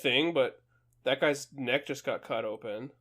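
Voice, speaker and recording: A man's voice: medium pitch, measured pace, pushed and bright. A man co-hosting a casual podcast, with a crude, sweary, joking manner. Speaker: podcast co-host. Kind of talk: casual chat.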